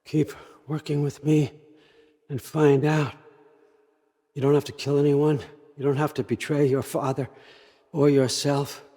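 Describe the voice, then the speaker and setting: A man's voice: a little high, flat delivery, unhurried, pauses often, warm tone. An older American man acting in a video game as a scheming, controlling old father god, wry, folksy and conversational as he manipulates. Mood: persuasive.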